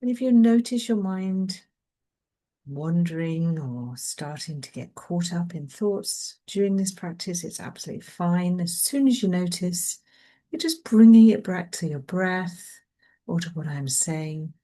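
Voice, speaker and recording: A woman leading a guided mindfulness meditation in a gentle, soothing manner, leaving long pauses between phrases. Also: on the low side, animated.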